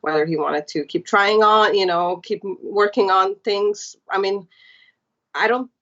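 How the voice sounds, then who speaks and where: A woman's voice: medium pitch, a little slow, a bit bright, muffled recording. A woman in her thirties from Hungary, a podcast guest telling her story in casual, chatty talk with lots of fillers. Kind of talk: casual interview chat.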